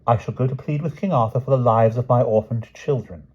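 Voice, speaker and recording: A man's voice: mid-range voice, brisk, a little mellow, breathy edge, dull audio, pitched above the performer's own voice, lightly acted. A man voicing a cold, defiant queen with a cutting manner. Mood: icy. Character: icy, defiant scheming queen.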